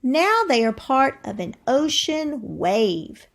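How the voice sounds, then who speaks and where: A woman with a fairly high voice and noticeable creak reads aloud like a teacher. She takes her time, explaining things to the listener in an instructive way. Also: very animated, takes it slowly. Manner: instructive, asks the listener questions. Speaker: teacher reading a science book aloud. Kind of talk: educational read-aloud with explanatory asides.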